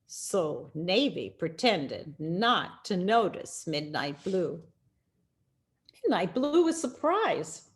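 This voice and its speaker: A woman reading a children's picture book aloud in an expressive, deliberate storytelling manner with dramatic pauses. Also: on the low side. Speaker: storyteller, rabbi.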